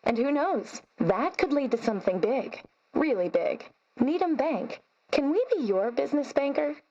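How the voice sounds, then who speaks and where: A woman delivers a scripted radio advertisement. Her voice is high and very animated in pitch, with slightly muffled broadcast sound. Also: moderate pace. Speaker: commercial announcer.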